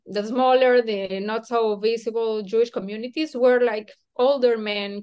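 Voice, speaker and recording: A woman from Peru, an author interviewed about her book, speaking thoughtfully with frequent restarts, hedges and soft laughs. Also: fairly high pitch. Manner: hesitant, self-questioning, with many restarts and soft laughs. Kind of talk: thoughtful academic conversation.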